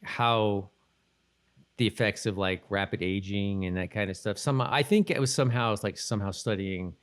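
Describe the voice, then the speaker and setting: Low-voiced man in casual podcast chat. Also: moderate pace. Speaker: podcast co-host. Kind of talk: casual conversational recap chat.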